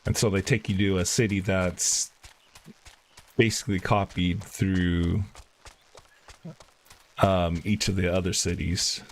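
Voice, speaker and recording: A man's voice: low voice, flat delivery, slow pace, long pauses, slight background noise, poor audio. A man streaming himself playing an online game, chatting casually with frequent fillers. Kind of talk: casual live-stream commentary.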